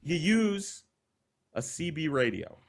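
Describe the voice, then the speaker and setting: Male podcast storyteller, lively swooping intonation, slightly high voice, theatrical, emphatic, profane, comic. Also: slow pace, gravelly. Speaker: podcast co-host telling stories.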